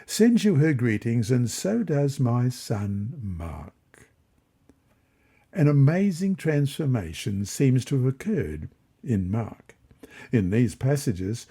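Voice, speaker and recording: Expressive, husky man reading a lesson. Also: mid-range voice. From Australia. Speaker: reader of Bible study lessons. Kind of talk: scripted lesson narration with scripture readings.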